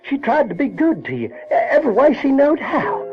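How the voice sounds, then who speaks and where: An actor playing an uneducated, conscience-troubled Southern boy who narrates an audio drama in a halting, rustic way. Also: very high voice, wildly swooping pitch, heavily acted. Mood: troubled, guilty. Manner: halting, repeats words, rustic grammar. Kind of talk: folksy first-person audio drama narration. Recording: dull vintage radio-drama recording, music behind.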